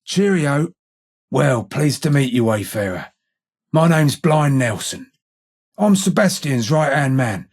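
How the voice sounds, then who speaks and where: Husky, sardonic old English ghoul. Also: male voice, mid-range voice, a little mellow. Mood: matter-of-fact. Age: older. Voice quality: husky, rough. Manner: blunt, slangy, salty-tongued. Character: gruff, sardonic old blind ghoul, gang boss's right-hand man. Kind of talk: video game character dialogue.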